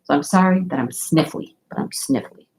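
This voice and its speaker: A woman's voice: low voice, fairly quick, a little mellow, low-quality recording. A woman with a stuffy, sniffly nose, rambling chattily as she hosts a crafting livestream. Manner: rambling, chatty, laughs often. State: stuffy nose from a sinus infection. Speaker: diamond painting livestream host. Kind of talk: casual chat while crafting.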